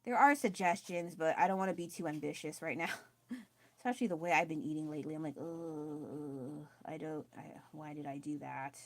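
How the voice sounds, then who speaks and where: A woman chatting casually while painting on a live stream at home, self-deprecating and quick to laugh, with a loud voice. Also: sits low. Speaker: artist livestreaming her painting. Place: at home with family nearby.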